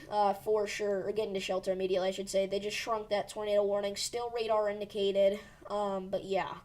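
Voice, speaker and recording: A woman's voice: medium pitch, a little mellow. A woman hosting a weather livestream who is losing her voice, giving urgent but reassuring storm commentary with many fillers. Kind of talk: live storm-tracking commentary.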